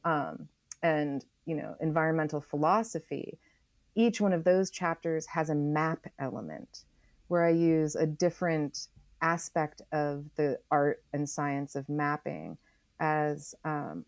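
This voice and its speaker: An American woman from Oregon explaining her work as an interview guest in a reflective, conversational way, emphatic and often pausing to think aloud. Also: sits low, no room sound. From Oregon, United States. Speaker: interview guest, author and geologist.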